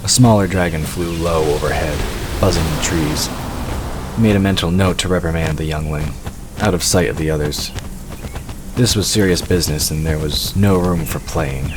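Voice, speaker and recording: Male narrator, extremely deep register, scripted fantasy storytelling, noticeably noisy ambient bed. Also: normal speed. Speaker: narrator of an audio drama. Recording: clearly noisy background ambience.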